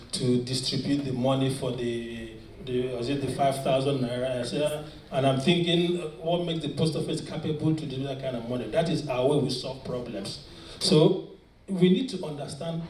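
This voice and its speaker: A man's voice: medium pitch, a little mellow, slight background noise. A Nigerian man speaking earnestly on a panel, often restarting his sentences. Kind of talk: panel discussion. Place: large conference hall. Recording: echoey conference hall from far off. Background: faint ambience.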